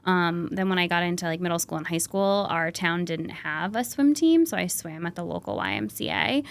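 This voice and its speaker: A woman's voice: lowish voice, sing-song, normal speed, gravelly. A woman from Rhode Island, guest on a podcast, friendly and thinking aloud, often laughing. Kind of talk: casual interview.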